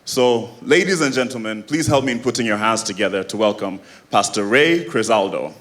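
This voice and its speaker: A man's voice: on the low side, expressive, a little fast. A man from Sierra Leone emceeing a church conference, upbeat and addressing the audience directly.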